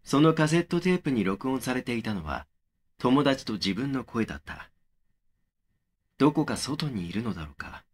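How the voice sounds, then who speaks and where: A man acting as a young male narrator of a horror audio drama, at a medium pitch. He recounts his own eerie memories in a measured, brooding, matter-of-fact way. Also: big pitch swings, background noise, close to an everyday voice.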